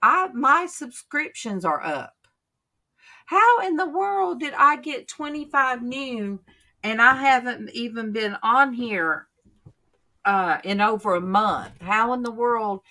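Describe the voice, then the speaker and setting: A woman, hosting a casual faith chat and telling stories in a chatty, drawn-out way. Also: a little high, lively intonation, sharp tone. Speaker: channel host.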